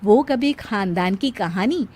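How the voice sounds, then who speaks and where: A woman's voice: medium pitch, expressive, slow, dark tone. A woman reading scripted narration for a cartoon moral story as a storyteller.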